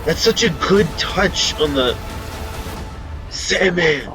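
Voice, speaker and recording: Hoarse young man reacting excitedly. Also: on the high side, music in the background. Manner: excitable, rapid exclamations. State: hoarse from yelling. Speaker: trailer reaction host. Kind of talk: casual reaction commentary.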